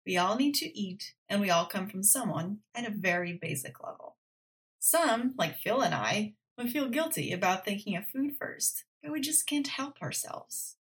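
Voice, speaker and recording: A woman from Brazil hosting a podcast, reading her scripted narration in a measured, earnest way. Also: medium pitch, rough recording. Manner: measured, earnest, reads with steady emphasis. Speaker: podcast host. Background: faint music.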